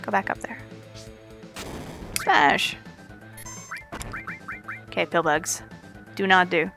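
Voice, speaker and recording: A woman's voice: on the low side, lively intonation, takes it slowly, gravelly, music in the background. A Canadian woman with a slight Newfoundland lilt, giving chatty, playful live commentary on her speedrun. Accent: Canadian, with a touch of Newfoundland. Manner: chatty and playful, jokes with chat.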